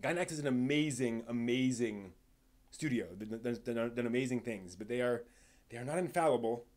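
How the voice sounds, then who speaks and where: A man with a mid-range voice talks casually as the host of a live stream. He speaks without a script, in a halting, rambling way, doubling back on his words and letting thoughts trail off. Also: medium pitch, darkish tone.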